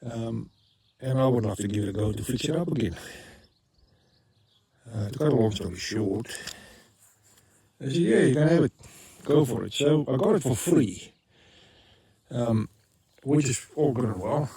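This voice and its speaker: A man's voice: mid-range voice, lively intonation, normal speed, pauses often, mellow, husky. A South African man talking casually in a rambling home vlog, often stopping to correct himself. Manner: rambling, self-correcting, frequent ums. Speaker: vlogger. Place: rural homestead.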